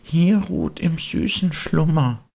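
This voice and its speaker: A man's voice: a little high, expressive, slow pace, creaky, a little mellow, pitched above the performer's own voice, full character voice. A man voicing an eager, naive little wooden puppet boy, exclaiming and pleading in an audiobook. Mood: tearful, earnest. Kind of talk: audiobook character voice.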